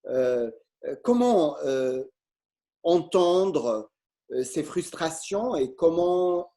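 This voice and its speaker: A host asks questions slowly and haltingly, filling gaps with many fillers. The voice is dark and mellow, and the pace is very unhurried. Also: mid-range voice, expressive. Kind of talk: moderating a panel discussion.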